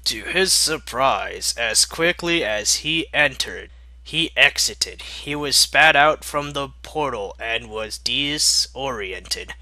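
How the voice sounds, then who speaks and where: A man narrates a fan story at an unhurried pace with a sarcastic edge. His voice is bright and forceful, and the recording is dry. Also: mid-range voice, expressive, no room sound. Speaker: fanfiction reader.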